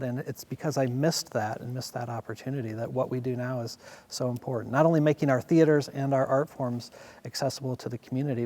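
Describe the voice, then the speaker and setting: Fast-talking earnest man. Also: mid-range voice, warm tone. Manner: quick, earnest, restarting. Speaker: performing arts center chief executive. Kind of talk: panel conversation.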